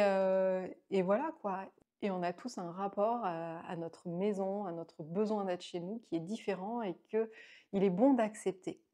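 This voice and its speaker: Late-30s woman rambling about books at home, lapel mic, soft mellow tone, lots of ums. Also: mid-range voice. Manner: chatty, many fillers and restarts. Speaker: book vlogger. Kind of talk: casual book review to camera. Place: small indoor room at home.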